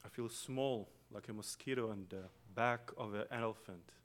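A man from Israel with an Israeli accent, a native Hebrew speaker, giving a slow, reflective guest sermon in a church. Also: lowish voice, slight background noise. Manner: slow, reflective, with long pauses and soft trailing phrases. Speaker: guest preacher. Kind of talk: meditative sermon with chanted Hebrew opening.

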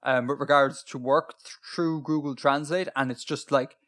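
A man's voice: medium pitch, steady tone, moderate pace, a bit bright. A man co-hosting a podcast in casual chat, talkative and self-deprecating, with plenty of ums. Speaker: podcast co-host.

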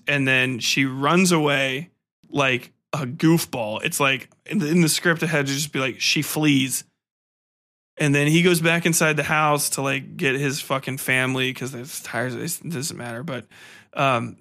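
A man's voice: a little high, sharp tone. A man hosting a solo podcast review, ranting casually with heavy swearing, slang and exasperated sarcasm. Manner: profane, irreverent, slangy. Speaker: podcast host reviewing a film.

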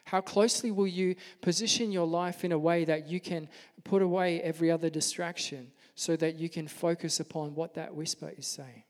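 Male pastor, soft-spoken and earnest, slightly raised pitch; sermon in a reverberant hall. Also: steady tone, normal speed, few pauses. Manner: earnest, gentle preaching.

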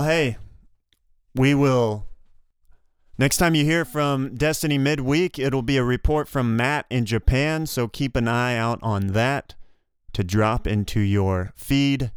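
Guy cohosting a podcast, clean smooth voice, mid pitch, chatting casually, dry close mic. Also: takes it slowly, dry recording. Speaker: podcast co-host.

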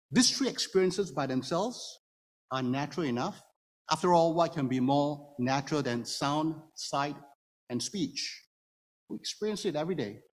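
In a church with long reverb, a man preaches in a slightly high voice. He is a native Hokkien speaker and explains scripture step by step, turning often to his listeners with rhetorical questions. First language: Hokkien Chinese. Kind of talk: expository sermon to a congregation. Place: church room before a congregation. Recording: reverberant hall, long echo.

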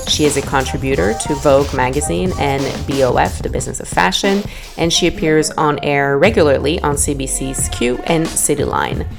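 A woman podcast host reading a polished, measured introduction and then asking questions. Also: low-pitched, gravelly. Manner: polished, measured, brief backchannels. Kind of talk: scripted intro and interviewing.

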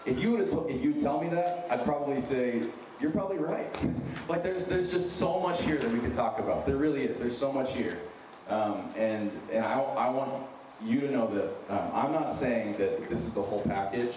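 A man's voice: mid-range voice, lively intonation, normal speed, a little mellow. A man in his thirties with an American accent touched by Minnesotan gives a conversational sermon to an audience, informal, self-deprecating and earnest, repeating phrases for emphasis. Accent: American with a slight Minnesotan touch. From United States, born in San Diego and raised in Wyoming. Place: large echoey room with an audience. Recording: very echoey noisy hall, distant mic.

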